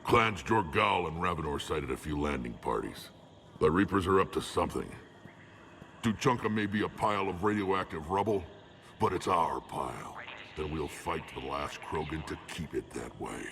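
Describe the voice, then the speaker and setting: A huge, battle-worn alien clan warlord for a video game. The voice is an extremely low, gravelly rumble. He is blunt, proud and dryly funny, over faint background noise. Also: expressive, normal speed, fairly bright tone, exaggerated voice. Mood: defiant, grim. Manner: blunt, dry-humored. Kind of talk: video game cutscene acting.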